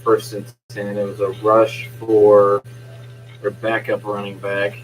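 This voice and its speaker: A young man gives casual play-by-play with a hoarse, fading voice, keeping it low and hushed. His voice sits on the low side. A faint hum runs under the home recording. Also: completely flat delivery, slow pace, rough recording. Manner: quiet, subdued talk. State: losing his voice. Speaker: live streamer doing play-by-play. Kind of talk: casual live-stream sports commentary. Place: at home at night.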